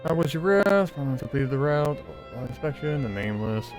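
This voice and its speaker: A man's voice: a little high, big pitch swings, normal speed. A man giving casual, informal let's-play commentary, sometimes mumbling through text as he plays. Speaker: video game streamer. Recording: game music behind the voice.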